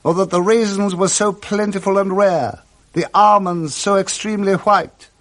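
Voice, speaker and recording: British man narrating, slightly high voice. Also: fairly even intonation, moderate pace. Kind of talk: scripted literary narration, 1960s radio drama.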